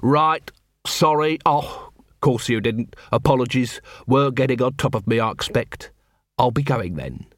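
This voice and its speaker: A man's voice: medium pitch, animated, a little slow, a bit bright, dry recording. A man doing a weary, sardonic watch captain. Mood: feigned fluster. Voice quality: dry, world-weary.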